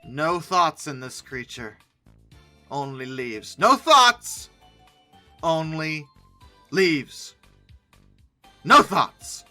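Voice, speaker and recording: A comedy host gives off-the-cuff commentary with a bright, sharp voice and very animated pitch. The delivery is theatrical and sarcastic, taking its time between exclamations. Music plays underneath. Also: extremely slow, long pauses. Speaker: host of a comedy reaction video. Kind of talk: off-the-cuff comic commentary on images.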